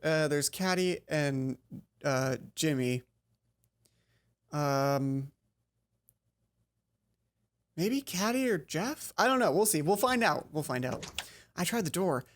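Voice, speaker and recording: A woman's voice: low voice, lively intonation, slow pace, long pauses. A woman reading a visual novel game aloud, tripping over the text and breaking off into casual, exasperated commentary. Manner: stumbles over read text, exasperated asides. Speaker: let's play host.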